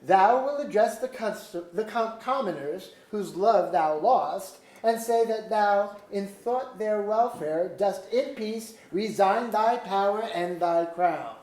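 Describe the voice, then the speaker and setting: An imperious, ambitious lord of the court voiced by a woman talks in commanding archaic phrases at a middling pitch. It is a stage reading in a clearly echoey auditorium. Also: slow pace, few pauses, darkish tone, close to an everyday voice. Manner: commanding, formal archaic speech. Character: ambitious, imperious noble lord deposing the monarch. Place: museum auditorium.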